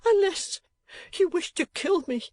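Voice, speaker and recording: A man's voice: expressive, measured pace, darkish tone, no echo. A man reading aloud, voicing an overwrought, tearful middle-aged wife and mother who gushes with emotion. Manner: shrill, tearful and effusive. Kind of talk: audiobook character voice.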